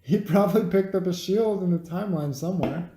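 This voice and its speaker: A man's voice: high voice, brisk, mellow, a little hiss or hum. A man in a casual, rambling chat about his opinions as an amateur reviewer, often questioning and swearing. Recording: roomy, echoing room sound.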